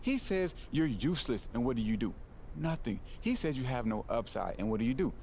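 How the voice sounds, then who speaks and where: A man's voice: medium pitch, lively intonation, brisk, creaky, dark tone, a little hiss or hum. An actor playing a cocky, scornful young male influencer who needles in slangy street talk, in a naturalistic game cutscene style. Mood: scornful, taunting.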